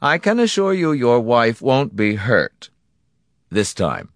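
A man performs an audiobook villain, a smooth and condescending boss. His pitch sits raised and moves with lively swings, and he stretches his mock-polite phrases with unruffled menace. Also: dry recording, studio quality. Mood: polite menace, annoyed. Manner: mock-polite, indulgent, drawn-out delivery. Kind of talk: audiobook character acting.

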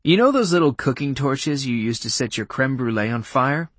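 Man acting a goofy slacker dude, raised pitch. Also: animated, normal speed, fairly bright tone, no echo. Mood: sheepish bluffing. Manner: exaggerated, slangy dude talk. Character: wisecracking man playing a goofy, guilty slacker.

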